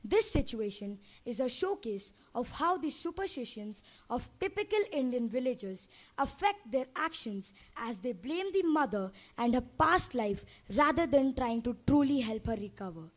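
A teenage girl reciting a lyrical introduction to a school play in an auditorium, with an expressive delivery. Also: on the high side, normal speed, few pauses.

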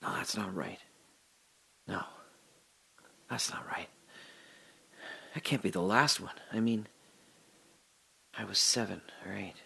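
Actor voicing a scared man trapped underground, halting self-talk, bright husky tone, slow pace. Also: fairly low pitch, exaggerated voice. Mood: reminiscing. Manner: halting, muttering to himself, drifting into memories. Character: adult man trapped in a dark airless space, confused and scared. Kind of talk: audio drama monologue.

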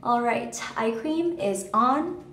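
A woman's voice: fairly high pitch, expressive, takes it slowly, fairly bright tone. A young woman in her twenties, chatting casually through a skincare routine in a bathroom, bubbly and chatty. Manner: bubbly, chatty, lots of "like" and "just". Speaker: vlogger. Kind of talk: casual skincare tutorial talk. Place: hotel bathroom at the mirror. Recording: echoey bathroom, faint music.

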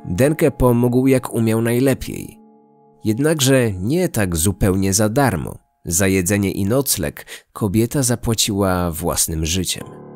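A man's voice: on the low side, lively intonation, slow, a little mellow, faint music behind. A man narrating a scripted true-crime story as a podcast host.

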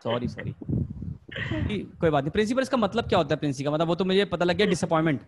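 Fast, teasing young man at a mic. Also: a little high, animated. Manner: teasing, quick, laughing. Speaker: stand-up comedian hosting a video-call show. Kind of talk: crowd work banter. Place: room at home. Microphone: stand mic in frame. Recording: noisy video call audio.

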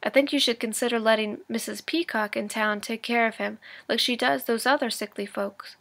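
A woman's voice: on the high side, fairly even intonation, moderate pace, vocal fry, a bit bright. A woman voicing a blunt, practical country doctor in a storybook reading, speaking firmly and matter-of-factly.

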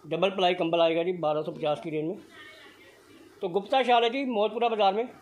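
A man's voice: sits high, fairly quick, a little hiss or hum. A man filming a shop walkthrough on his phone, praising the goods in upbeat, repetitive sales patter. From Punjab, India. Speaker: shop video vlogger. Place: indoor blanket shop.

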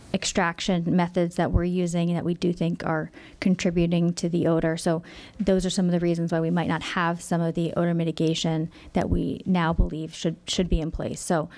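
A woman in her thirties speaks into a desk microphone in a council chamber, her voice on the low side and very even in pitch. She answers questions in a measured, slightly apologetic way, pausing on fillers as she goes. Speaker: company representative. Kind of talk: public meeting presentation.

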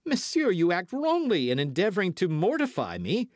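Man as excitable high-pitched proud young hothead. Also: moderate pace, dry recording, studio quality. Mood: indignant. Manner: excitable, higher-pitched, earnest. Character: eager, proud, quarrelsome young male Gascon musketeer hopeful. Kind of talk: audiobook character dialogue.

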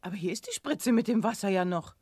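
An actress playing a helpful, concerned young sidekick in a children's audio drama, speaking earnestly. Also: female voice, medium pitch, expressive, fast, a little mellow, breathy edge. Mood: surprised. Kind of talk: 1980s German children's audio drama acting.

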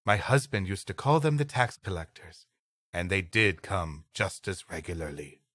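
Guy reading an old tale for a comedy podcast, bright voice, really lively pitch, dry close sound. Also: normal speed, no echo. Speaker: podcast co-host. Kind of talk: reading an old adventure story aloud with comic banter.

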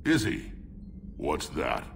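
A hulking alien warrior from a video game, with an extremely deep, gravelly growl. He is gruff, protective and distrustful, taking his words slowly. The voice sits a little away over noisy background ambience. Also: huge pitch swings, exaggerated voice. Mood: suspicious. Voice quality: gravelly, rumbling. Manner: gruff, blunt, menacing.

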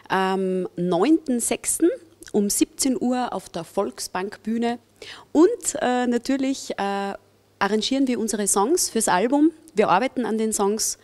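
A young woman from Austria with an Austrian accent, answering interview questions as a band's singer in a casual, friendly way with filler pauses, into a handheld mic in a stone-walled venue. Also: mid-range voice, darkish tone. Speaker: singer and bandleader.

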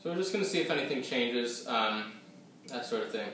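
A young man talks to the camera about a whiskey, rambling and hesitant, with many fillers and restarts. His voice sits at an ordinary pitch but rings in a very echoey room. He sounds a little distant from the mic, with faint ambient noise underneath. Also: expressive, brisk, a bit bright. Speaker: whiskey reviewer. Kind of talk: casual tasting review to camera. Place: small room at home, seated at a table.

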